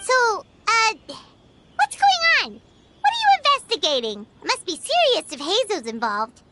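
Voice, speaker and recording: A woman voicing a small, excitable fairy companion in an anime game, with a squeaky childlike voice and an inquisitive, exclamatory delivery. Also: a little slow, slight background noise, exaggerated voice. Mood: curious. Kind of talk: anime video game voice acting.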